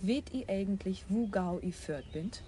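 An actress playing a brisk young country policewoman who speaks with a broad Frisian accent and a blunt, official manner. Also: mid-range voice, mellow, a little hiss or hum, natural voice. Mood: stern, official. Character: brisk young female country traffic cop. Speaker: motorcycle policewoman. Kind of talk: radio drama acting.

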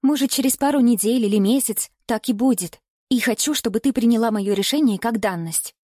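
A woman's voice: a little high, a little fast, darkish tone, dry recording, clean studio sound, natural voice. Woman playing an earnest, pleading young daughter. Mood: tender pleading. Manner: soothing, pleading, emphatic. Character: earnest young adult daughter, pleading, gentle but resolute.

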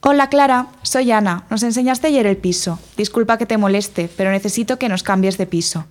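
A woman playing a brisk, sceptical, easily exasperated tenant in an audio drama. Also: mid-range voice, a little hiss or hum, natural voice. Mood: apologetic. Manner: brisk, no-nonsense.

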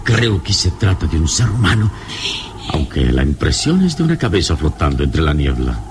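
An actor playing a calm, courteous and commanding adventurer hero in a radio drama. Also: male voice, very deep voice, moderate pace, heavy background noise. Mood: calm, assured. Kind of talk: old-style radio drama acting.

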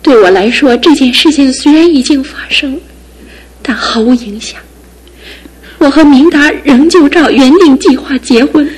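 An actress playing a tender, resolute young fiancée, speaking softly and near a whisper, in a radio drama with music behind. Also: female voice, high-pitched, moderate pace, lightly acted, poor audio. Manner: soft, soothing, often near a whisper.

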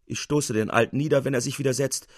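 A man's voice: mid-range voice, steady tone, quick pace, dry recording, lightly acted. Storybook hot-headed young suitor, played by a male narrator, ardent, declaring, dry close sound. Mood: impetuous.

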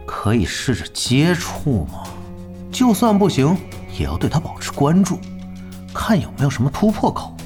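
Scheming young gamer, lively pitch. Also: male voice, moderate pace, soft background music. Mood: cool, calculating. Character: scheming young male pro gamer, proud and grudging. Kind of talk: audio drama acting.

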